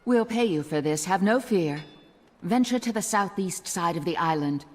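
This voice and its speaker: An actress playing a wary, earnest resistance agent in a fantasy video game, speaking with foreboding. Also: female voice, fairly low pitch, lively intonation, moderate pace, darkish tone. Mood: grave, businesslike. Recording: reverberant hall with music behind.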